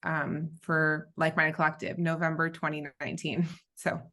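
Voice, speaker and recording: Woman, thirties, American accent, podcast guest, bright crisp-edged tone, slightly low pitch, conversational interview delivery. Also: flat delivery, moderate pace. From Southern California, born in Israel. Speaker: podcast guest, business founder.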